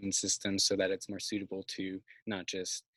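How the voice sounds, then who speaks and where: Bright-voiced man on a video call. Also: low voice, completely flat delivery, no echo. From Massachusetts. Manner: thoughtful, halting, many fillers and restarts. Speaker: farmer, interview guest. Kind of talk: casual video call conversation. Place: outdoors by a house's siding. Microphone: video call, laptop or phone mic.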